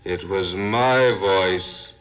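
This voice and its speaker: An actor playing a vengeful dead man come back as a ghost, slow, ominous and taunting in 1940s radio horror style. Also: deep voice, smooth. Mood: taunting menace. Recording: dull 1940s radio recording with music.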